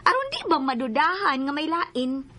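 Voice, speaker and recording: High, bright-voiced gossiping friend. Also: female voice, big pitch swings, normal speed, a little hiss or hum, exaggerated voice. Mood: knowing, suspicious. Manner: gossipy, insistent. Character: gossiping, insinuating woman friend bearing bad news. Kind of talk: Filipino radio drama acting.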